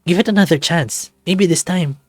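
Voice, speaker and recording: A man's voice: fairly high pitch, normal speed, dry recording, soft background music, pitched above the performer's own voice, lightly acted. A man voicing a playful, affectionate and caring male wolf in a visual novel, with teasing, earnest delivery. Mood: worried, caring. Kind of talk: visual novel character acting.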